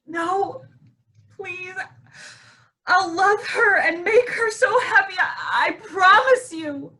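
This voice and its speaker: Casting: woman playing desperate, grieving wife coming apart, high-pitched, slow delivery, stage drama. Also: animated, pushed and bright, heavily acted. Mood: tearful pleading. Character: grief-stricken, unhinged wife clinging to stolen baby deer. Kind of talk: staged play reading.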